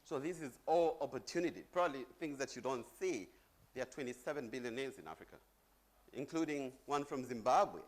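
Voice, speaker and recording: A man's voice: medium pitch, animated, a little fast. A middle-aged man from Zambia giving a chatty conference presentation on stage, joking with the audience. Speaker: conference speaker.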